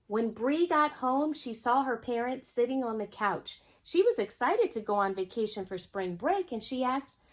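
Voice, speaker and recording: A woman's voice: on the high side, animated, moderate pace, hardly any pauses. A woman hosting a children's storytime, reading a picture book aloud expressively and speaking directly to young listeners. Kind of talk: storytime read-aloud with asides to young viewers.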